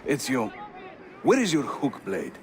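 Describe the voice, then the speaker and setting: Animated Turkish-accented assassin leader. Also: male voice, mid-range voice, a little slow, crowd noise, noisy background. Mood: instructive. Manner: animated, teasing, theatrical. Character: jovial, talkative Ottoman assassin leader, a man. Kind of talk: video game cutscene acting.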